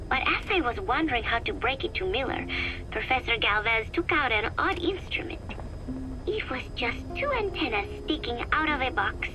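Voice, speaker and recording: High girlish teen reading her diary, innocent n earnest, game audio log, music behind. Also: low-quality recording. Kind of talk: in-character audio diary narration.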